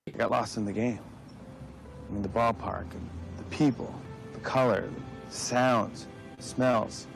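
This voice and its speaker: A man's voice: medium pitch, fairly even intonation, a little slow, gravelly, music in the background. A man talking reflectively, as in an interview.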